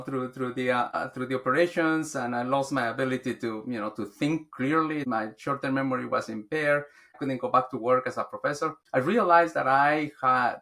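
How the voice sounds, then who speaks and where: A man's voice: mid-range voice, pushed and bright. A middle-aged man, a podcast guest speaking earnestly and often restarting his words. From Guatemala. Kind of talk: reflective interview talk.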